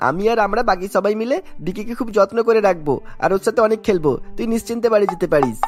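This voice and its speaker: A woman's voice: medium pitch, very fast, a little mellow, soft background music, natural voice. A woman voicing a cocky boy bully, the mocking ringleader of a playground in a cartoon. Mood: placating. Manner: taunting, dismissive. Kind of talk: cartoon dialogue acting.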